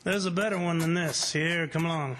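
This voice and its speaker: An actor playing a smug, unflappable plantation owner in old-time radio drama style, confident and offhand. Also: male voice, fairly high pitch, fairly quick, a bit bright, a little hiss or hum, lightly acted. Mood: proud confidence. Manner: confident, offhand, matter-of-fact.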